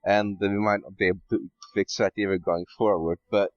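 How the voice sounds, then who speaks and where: A man's voice: lowish voice, flat delivery, a little fast, no echo. A man giving blunt, casual opinions as a podcast guest, over a dull voice-call sound.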